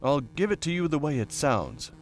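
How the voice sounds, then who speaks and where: A man's voice: fairly high pitch, very animated, moderate pace, soft background music. A man voicing a tired, earnest air force major making procedural radio reports in an audiobook story. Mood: weary, insistent. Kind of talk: scripted audiobook character dialogue.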